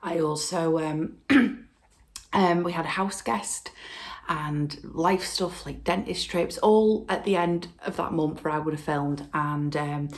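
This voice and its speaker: A woman hosting a casual stitching video from home, chatting in a rambling way with lots of fillers, and sounding hoarse from a cold. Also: low voice, slow pace. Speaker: flosstube host. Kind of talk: casual chat to camera.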